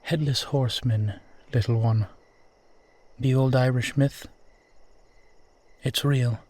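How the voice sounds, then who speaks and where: A man playing a proud, amused centuries-old vampire in a roleplay audio, speaking in a measured, theatrical, formal and condescending way. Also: medium pitch, fairly even intonation, a little mellow. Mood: smooth, teasing. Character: suave 450-year-old male vampire guiding a lost stranger, proud, amused. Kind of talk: slow dramatic roleplay audio monologue.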